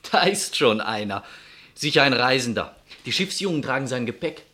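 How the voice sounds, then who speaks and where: An actor playing a dreamy, good-natured young army doctor in a German radio play. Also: male voice, medium pitch, animated, moderate pace, sharp tone. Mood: curious. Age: young adult.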